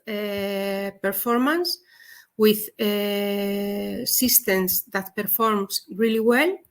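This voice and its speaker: A woman with a Spanish accent giving a technical webinar presentation, speaking haltingly with frequent pauses. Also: fairly high pitch, a bit bright. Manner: hesitant, halting non-native delivery with frequent pauses. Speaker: presenter, head of a dosimetry service.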